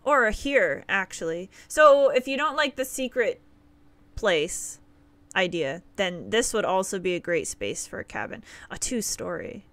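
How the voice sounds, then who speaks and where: Bright-voiced Nova Scotian woman streaming. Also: medium pitch, sing-song, measured pace. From Nova Scotia, Canada. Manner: chatty, upbeat, laughs often, reads out chat. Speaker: livestreamer. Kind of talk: casual live gameplay chat with viewers. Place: small indoor room.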